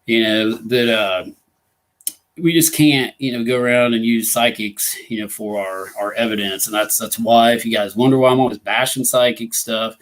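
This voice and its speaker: A hoarse man with a Midwestern American accent, fighting a cold and clearing his throat as he hosts a casual live show, rambling with lots of fillers and reading viewer comments. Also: medium pitch, fairly even intonation, moderate pace. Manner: rambling, many fillers like "you know" and "uh". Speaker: ghost hunting talk show host.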